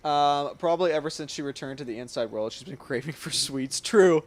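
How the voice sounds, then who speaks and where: A woman's voice: sits low, a little fast. A woman giving casual, chatty and playful commentary as she streams a video game. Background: faint game music.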